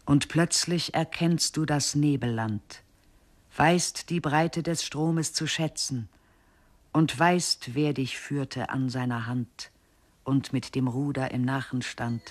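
A man reciting verse for radio in a solemn, deliberate manner. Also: mid-range voice, husky. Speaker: radio poetry reader.

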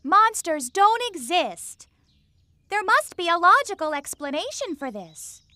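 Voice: very high voice, sing-song, unhurried, bright, forceful tone, dry recording, clean studio sound, exaggerated voice. An actor voicing a sensible but nervous young animal in a children's cartoon, earnest and precise.

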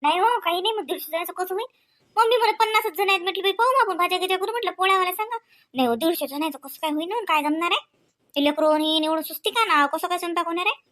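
A woman plays a young housewife and mother in a domestic comedy cartoon. Her voice sits high, and she talks in a chatty, coaxing, practical way. Her Marathi is homely, with a Varhadi accent from Vidarbha. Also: very fast, fairly bright tone. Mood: worried.

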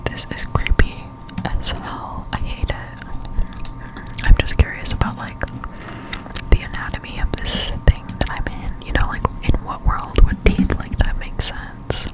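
A woman's voice: measured pace, poor audio. Whispering woman, ASMR gameplay. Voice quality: breathy unvoiced whisper. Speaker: gaming streamer, ASMR creator. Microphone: close-up microphone.

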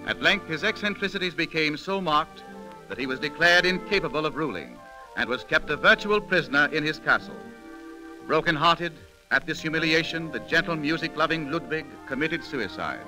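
Male radio narrator, dramatic 1930s delivery, fairly high voice, lively intonation, music underneath. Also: normal speed, poor audio.

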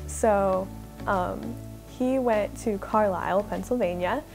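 A woman's voice: mid-range voice, darkish tone. A sixteen-year-old American girl from Ohio telling her story casually and hesitantly in an interview. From Ohio, United States. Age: teenager, 16.

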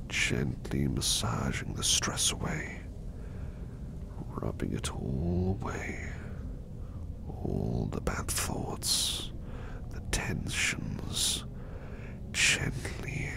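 Gentle protective butler-type servant for ASMR roleplay male actor: soft breathy whisper, deep gravelly fry, unhurried, courteous and comforting. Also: full character voice. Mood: soothing, hushed. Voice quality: soft, breathy whisper with low creaky rasp. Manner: slow, tender, reassuring, formally polite. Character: gentle, protective male servant soothing his master to sleep. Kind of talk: ASMR roleplay, personal attention.

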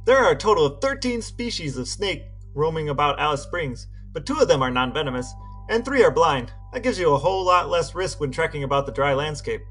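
High-pitched, expressive man reading aloud. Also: a little fast, faint music behind. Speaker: podcast co-host. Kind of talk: dramatic reading of text messages.

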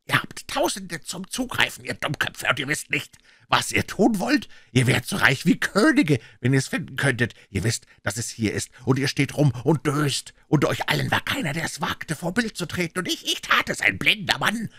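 A man voicing a furious blind pirate leader in an audiobook, shouting orders and cursing his crew with scorn. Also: fairly high pitch, big pitch swings, normal speed, breathy edge, no room sound, pitched above the performer's own voice, full character voice. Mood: scornful, wheedling. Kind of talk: dramatic audiobook character reading.

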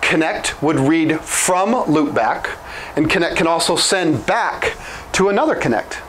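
A man's voice: a little high, expressive, measured pace, a bit bright, a little hiss or hum, poor audio. A man around his late thirties giving an informal, step-by-step technical explanation as an instructor. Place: small indoor office room with whiteboard. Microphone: lapel mic.

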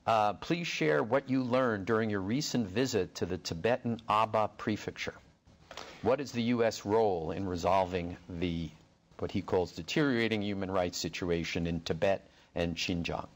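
Older man reading questions, fairly low voice. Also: normal speed. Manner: reads names and places before each question. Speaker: moderator reading audience questions. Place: indoor studio before a backdrop.

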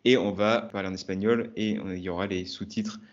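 A man in his thirties from France hosting a friendly interview over a video call, asking questions slowly and clearly. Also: lowish voice, steady tone. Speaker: host of a language channel. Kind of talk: conversational interview. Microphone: earphone headset on a video call. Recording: dull video call audio.

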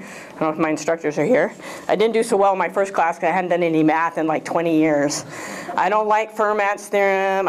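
A woman's voice: lowish voice, a little hiss or hum, poor audio. Chatty woman in her fifties on a handheld mic. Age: 50s. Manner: chatty, jokey, asks the audience questions. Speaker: guest speaker. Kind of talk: casual talk to a club audience. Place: small lecture room.

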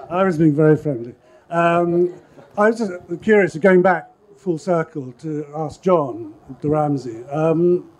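A man's voice: on the high side, a little mellow, rough recording. An older man asking a casual, chatty question from the audience in a jokey, self-deprecating way, on a handheld microphone. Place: crowded festival tent.

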